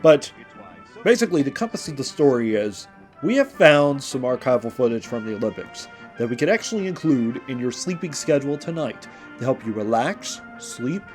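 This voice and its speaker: A man's voice: medium pitch, expressive, moderate pace, darkish tone. A man with an American accent introducing a podcast like a radio host, rambling and wordy. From Indiana, United States. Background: music under the voice.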